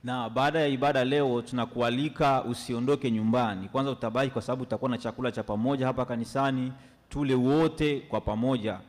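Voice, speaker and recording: A young male pastor interprets church announcements at a quick clip, his mid-range voice carrying fluently through a large sanctuary. A long reverb trails each phrase from the stand mic. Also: medium pitch, steady tone. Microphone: stand microphone at a lectern.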